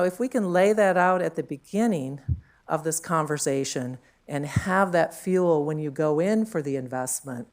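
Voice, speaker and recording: A woman with a deep, low voice talks to a conference room through a handheld mic. She is chatty and loose, with frequent fillers and questions tagged onto her points. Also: a little mellow. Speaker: conference panel speaker. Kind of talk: conference panel talk.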